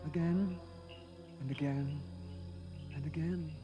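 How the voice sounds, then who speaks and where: Slow, sly, eccentric villain. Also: male voice, on the high side, steady tone, highs cut off, music in the background. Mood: whimsical. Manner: theatrical, sly, musing. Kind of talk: animated action series dialogue.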